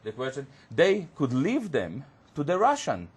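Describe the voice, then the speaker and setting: A man whose first language is Hebrew, a guest on a studio panel discussion, who talks haltingly and searches for words with many fillers. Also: mid-range voice, huge pitch swings, husky. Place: community TV studio.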